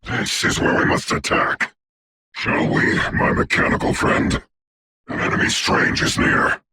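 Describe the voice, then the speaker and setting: An actor voicing a commanding, haughty dark sorcerer for a video game, speaking in a formal, grandiose, deliberate way with a gravelly, breathy voice. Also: male voice, background noise, lightly acted. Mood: solemn, commanding. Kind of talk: theatrical hero game voice lines.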